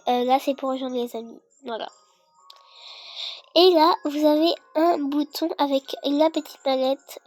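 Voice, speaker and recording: A woman's voice: high-pitched, a little mellow. A young girl with a congested, sick voice, casually explaining a video game in a hesitant, rambling way. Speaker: gaming video creator. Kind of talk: casual game tutorial commentary.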